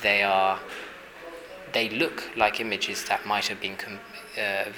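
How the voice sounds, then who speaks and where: A man from Hungary, an artist, answering interview questions thoughtfully, with many pauses, fillers and restarts. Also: on the low side, flat delivery, crowd noise. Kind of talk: exhibition interview. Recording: noisy ambience at an exhibition.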